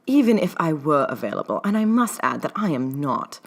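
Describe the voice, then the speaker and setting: A prickly male academic as a woman reads him in an audio story speaks formally and precisely. He is guarded and quick to snap, in a low-pitched voice. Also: sing-song, creaky, exaggerated voice. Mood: snappish, prim. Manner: formal, precise, clipped wording.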